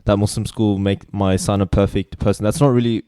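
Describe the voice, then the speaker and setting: A man with a fairly low, mellow voice co-hosts a casual podcast chat. He is easygoing and chatty and keeps the conversation loose, with a clear, smooth tone. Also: steady tone, dry recording, studio quality. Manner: easygoing, chatty, quick to laugh along. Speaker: podcast co-host.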